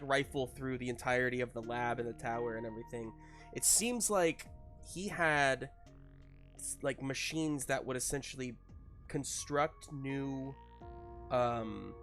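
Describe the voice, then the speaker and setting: A man running a tabletop game, improvising narration in a halting, conversational and encouraging way. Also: medium pitch, a bit bright. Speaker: game master.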